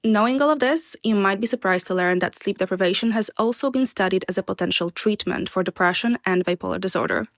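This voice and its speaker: A young woman from Poland, a PhD student, reading a prepared answer on sleep science in a measured, formal way. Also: medium pitch, no room sound. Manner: measured, formal explanatory delivery.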